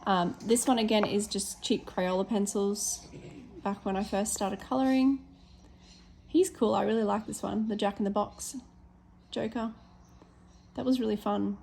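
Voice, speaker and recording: Australian woman, casual hobby vlogger, a little hoarse, chatty and self-correcting, mid-pitched. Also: a little slow, long pauses, a little mellow, slight background noise. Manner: chatty, many ums, corrects herself. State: slightly hoarse, losing her voice. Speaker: teacher showing her colouring pages. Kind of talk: casual flip-through chat.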